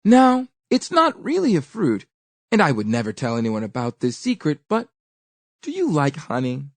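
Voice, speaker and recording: A woman reads as a clever village girl in a folktale. She speaks sweetly yet firmly, with animated rises and falls and a gently instructing manner. The recording is dry and close. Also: low voice, normal speed, no room sound, heavily acted. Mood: sweetly sly. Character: clever, sweet-spoken village girl, kind but firm.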